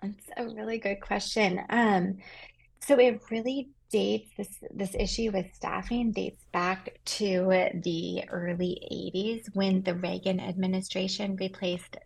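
Woman reporter, gravelly and husky, explaining in interview. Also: mid-range voice, fairly even intonation, unhurried, poor audio.

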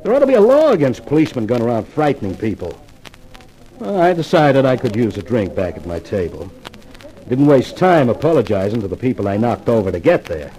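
A man's voice: medium pitch, big pitch swings, brisk, mellow, close to an everyday voice, low-quality recording. An actor playing a cocky, wisecracking male columnist in a 1950s radio detective drama, glib and wry. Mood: wry narration. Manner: glib, wry, self-assured.

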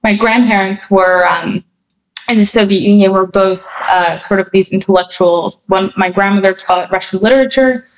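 A woman's voice: mid-range voice, normal speed, rough recording. A woman from New Jersey answering interview questions, thoughtful and chatty, with many fillers and restarts. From New Jersey, USA. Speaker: guest.

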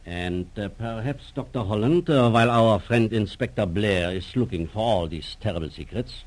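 An actor playing a suave elderly professor in a 1940s radio drama, over-polite and faintly mocking, with hesitant drawn-out phrases. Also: male voice, lowish voice, a little mellow, close to an everyday voice. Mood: sly mockery.

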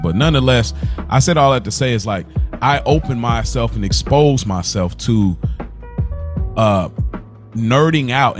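A man with a fairly low voice talks loosely on a podcast, working his thoughts out as he goes and often restarting mid-sentence. Faint music sits under the conversation. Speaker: podcast co-host. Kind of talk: casual chat.

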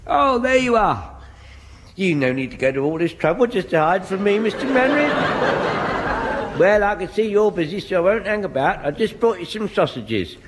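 An actor playing an excitable, muddled old English butcher in a vintage British radio sitcom, rambling and chirpy and easily flustered. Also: male voice, high voice, normal speed. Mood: cheerful, muddled. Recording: noisy vintage radio recording.

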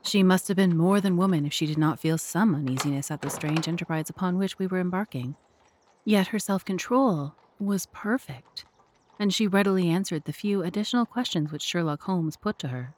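A woman with a slightly low voice serves as narrator of an audio drama. She delivers scripted, literary storytelling. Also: fairly quick, darkish tone, slight background noise.

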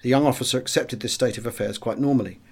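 A British man narrates a memoir as an audiobook reader. His voice sits at a medium pitch. He tells the story in a measured, expressive way, with a dramatic first-person feel. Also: husky.

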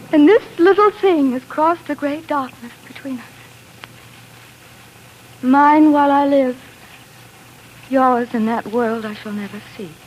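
Tender young sweetheart, fairly high voice. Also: female voice, a little hiss or hum, poor audio. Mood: wistful. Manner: earnest, romantic delivery. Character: devoted young woman in love, tender, wistful, resigned. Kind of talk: 1940s radio drama acting. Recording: vintage 1940s radio drama with music.

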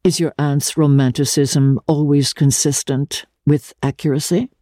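A woman voicing an earnest, anxious young society gentleman, urgent and formal, in a dramatic reading. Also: low voice, steady tone, unhurried, no echo, clean studio sound. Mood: cautious.

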